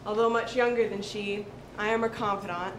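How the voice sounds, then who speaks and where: A woman's voice: medium pitch, steady tone, brisk. A woman giving a dramatic recitation of a short story to listeners. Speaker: reader at a literary recitation. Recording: echoey room with clear background noise.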